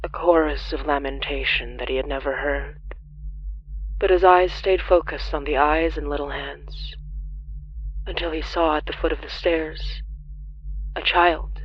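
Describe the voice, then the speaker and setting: Grave, measured horror narrator, mid-pitched. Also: fairly even intonation, lo-fi sound, a little hiss or hum. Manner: grave, measured delivery with deliberate pauses. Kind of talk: scripted dramatic horror narration.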